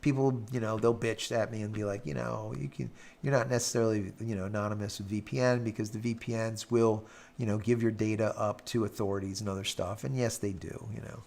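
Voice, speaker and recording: A man with a raspy voice hosting a live stream, casually chatting and reading out viewer comments, rambling with lots of fillers. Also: fairly low pitch, steady tone, a little fast, darkish tone. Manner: rambling, filler-heavy, hums while scrolling.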